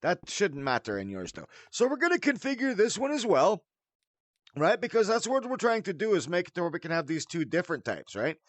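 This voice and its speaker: High-pitched, animated man hosting a tutorial. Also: fairly quick, no echo. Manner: theatrical, mock-formal wording mixed with folksy slang. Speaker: tutorial host. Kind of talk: casual spoken game tutorial with showy greeting.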